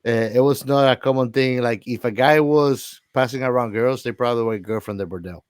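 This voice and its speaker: A man talks casually in a mid-pitched voice, recorded dry and close. He sounds like a podcast co-host in an informal film chat, blunt and slangy in how he puts things. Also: brisk, smooth, no echo. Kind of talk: casual movie discussion.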